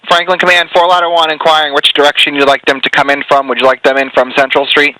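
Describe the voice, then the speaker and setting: A man dispatches fire crews over a faintly noisy radio channel. He talks very quickly and crisply in procedural phrases, with a bright, slightly raised voice. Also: fairly high pitch, little pitch movement. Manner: clipped, procedural. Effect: two-way radio. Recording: compressed radio dispatch audio with hiss.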